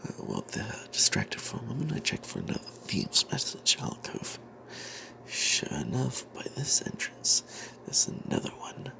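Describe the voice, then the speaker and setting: A man's voice: mid-range voice, huge pitch swings, low-quality recording. Man whispering a secretive fantasy tale. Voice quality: breathy, unvoiced whisper. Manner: hushed and secretive, with dramatic pauses. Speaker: narrator telling the tale in character as a cleric. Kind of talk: whispered ASMR storytelling of a tabletop fantasy adventure.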